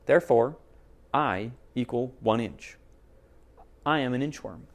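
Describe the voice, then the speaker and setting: Man as a precise, gravelly storybook inchworm. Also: mid-range voice, unhurried, lightly acted. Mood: proud, matter-of-fact. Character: proud, precise inchworm caterpillar fond of measuring. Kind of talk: children's picture book character reading.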